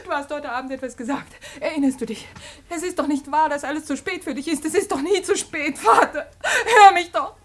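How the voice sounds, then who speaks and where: An actress playing a desperate young married daughter in a radio drama, pleading with emotional urgency. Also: female voice, high voice, brisk, fairly bright tone. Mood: quiet pleading. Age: young adult. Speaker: actor. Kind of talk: 1950s German radio drama acting.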